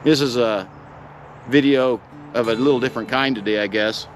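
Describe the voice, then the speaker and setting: A man's voice: mid-range voice, moderate pace, over music. A middle-aged man sharing a personal story in a reflective, sincere, plain-spoken way, as if chatting to viewers. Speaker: pipe vlogger telling a personal story.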